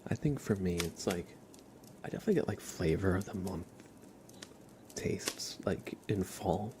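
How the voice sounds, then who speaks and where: A man hosting an ASMR livestream, chatting gently and informally in a soft, breathy voice that often slips into a whisper, close to the microphone. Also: deep voice, moderate pace, noisy background. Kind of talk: soft-spoken ASMR, casual live chat.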